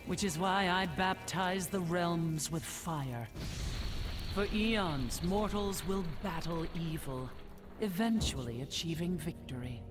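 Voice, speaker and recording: A regal, severe goddess narrates a video game epilogue. Her delivery is stately and unhurried, solemn in judgement of mortals. Music plays clearly behind her. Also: on the low side. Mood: solemn severity.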